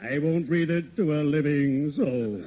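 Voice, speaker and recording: An actor as genteel, morbid undertaker in noisy old radio. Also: male voice, on the high side, narrow, tinny audio, close to an everyday voice. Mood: genteel, teasing. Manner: pompous, florid, drawn-out delivery. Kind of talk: 1940s American radio comedy acting.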